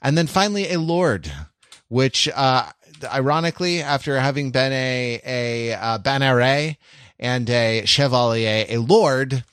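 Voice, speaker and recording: A man co-hosting a casual podcast, talking in a halting, repetitive way with wry, bookish asides. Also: medium pitch, fairly bright tone, smooth, no room sound. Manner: halting, circles back and repeats himself. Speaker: podcast co-host.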